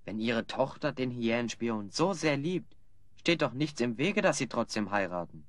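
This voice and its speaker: An actor playing a clever, composed hero in a German audio drama, speaking in a measured, articulate, persuasive way. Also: male voice, mid-range voice, lively intonation, no room sound, natural voice. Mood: calm, persuasive.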